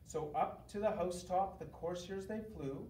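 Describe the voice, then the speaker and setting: A man reading a children's story aloud in an expressive, theatrical way, as a school staff member would for families. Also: high-pitched, moderate pace. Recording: roomy, distant mic with faint noise.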